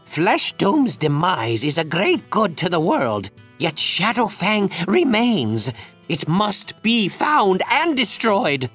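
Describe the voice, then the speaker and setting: Give this priest a slow, solemn zeal. He proclaims like a holy champion in a fantasy game, his pitch sweeping widely over faint music. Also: wildly swooping pitch, fairly bright tone, husky, heavily acted. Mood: grave warning. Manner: grave, theatrical proclaiming. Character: zealous holy priest, fervent champion of the Light. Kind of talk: dramatic fantasy game acting.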